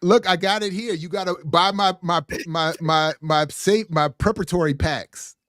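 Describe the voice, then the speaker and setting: A man's voice: high voice, steady tone, normal speed, a bit bright, dry recording. Man chatting on a panel, dry close sound. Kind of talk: casual panel chat.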